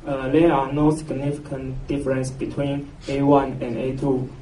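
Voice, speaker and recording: A man from Taiwan with a Taiwanese accent gives a scientific conference presentation, halting and hesitant, with very frequent filled pauses. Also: medium pitch, level tone, dark tone. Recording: roomy, noisy, distant mic, muffled echo.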